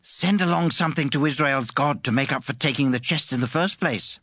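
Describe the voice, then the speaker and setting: A man's voice: mid-range voice, fairly quick, bright, forceful tone, no echo, studio quality. A man playing a solemn pagan priest giving counsel in a dramatized audiobook.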